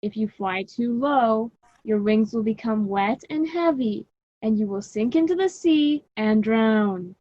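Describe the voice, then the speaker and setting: Woman as worried dad inventor in a radio myth, high slow earnest voice, bit lo-fi. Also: lively intonation, warm tone. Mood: cautioning. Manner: earnest, deliberate, instructive. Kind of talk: amateur student radio drama acting. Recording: slightly dull lo-fi recording.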